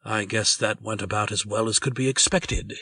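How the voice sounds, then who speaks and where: A man voicing a stern talking-animal rebel leader with a raspy voice and a grave, forceful delivery in a comic dub. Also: lowish voice, steady tone, moderate pace, dry recording, clean studio sound, full character voice. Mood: scheming. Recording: distant mic, music behind.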